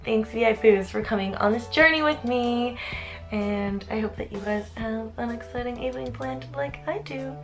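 A woman's voice: on the high side, moderate pace, faint music behind. A young woman in her twenties, vlogging casually to a handheld camera, explaining things in a friendly, chatty way. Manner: upbeat and chatty, then quieter and drowsy. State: groggy after treatment. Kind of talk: casual vlog narration. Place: outdoors in a city alley, later a bedroom. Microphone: handheld camera at arm's length.

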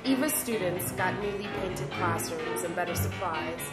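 A woman's voice: fairly low pitch, moderate pace. A woman reading a scripted narration in the style of a storybook. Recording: echoey, music underneath. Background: music.

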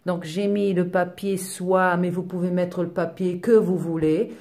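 A woman with a fairly low, warm and mellow voice guides viewers through a home decorating tutorial. Her first language is Portuguese, and she talks in a friendly, unhurried way, often pausing on fillers as she searches for words. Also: steady tone. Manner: friendly, hesitant with frequent fillers. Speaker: DIY decor vlogger. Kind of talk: tutorial voice-over.

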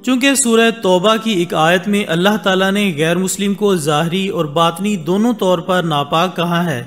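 A man reading a scripted documentary voice-over in formal Urdu, measured and steady, with Arabic religious phrases woven in. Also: high voice. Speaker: documentary narrator. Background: soft background music.

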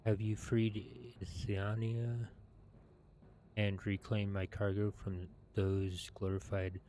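A man in his thirties reading video game quest text aloud in a halting, deliberate way, with some plain commentary. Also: fairly low pitch, little pitch movement, mellow, slight background noise.

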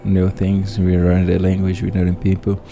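A man with a very low voice, commenting casually on a video game in halting, chatty English. He restarts his sentences now and then, and loud game music plays beneath his voice-over. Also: completely flat delivery, vocal fry. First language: Portuguese. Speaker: gameplay host.

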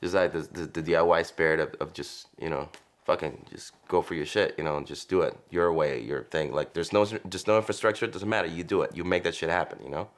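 A man's voice: low voice, quick pace. A male comedian of Bulgarian and Congolese roots, rambling with swearing and restarts in an interview. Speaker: stand-up comedian. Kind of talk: documentary interview.

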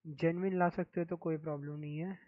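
Young man with a hoarse, quiet voice. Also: on the high side, fairly even intonation, quick pace, no room sound, narrow, tinny audio. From India. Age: young adult. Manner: speaks softly, mic-checking. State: hoarse, sore throat. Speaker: game streamer. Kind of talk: casual live-stream chat.

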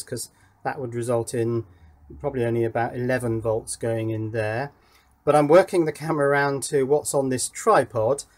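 A man's voice: medium pitch, darkish tone. A man presenting an electronics project in casual, explanatory talk, thinking aloud as he demonstrates at an indoor workbench.

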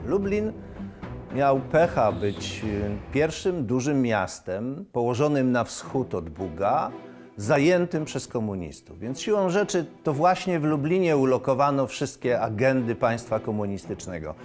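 A man, giving expert documentary commentary emphatically, with dramatic pauses. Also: medium pitch, very animated, a little mellow. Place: indoor studio. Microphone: lapel. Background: music.

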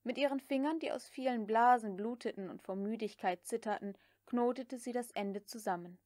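Audiobook narrator: woman with a higher-pitched voice and dry sound, reading literary fiction from a script. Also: moderate pace, darkish tone, dry recording.

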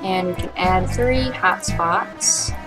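A young adult woman narrating a software tutorial step by step, in an informal, matter-of-fact way. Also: medium pitch, expressive, takes it slowly, poor audio. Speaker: tutorial creator and channel host. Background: guitar music.

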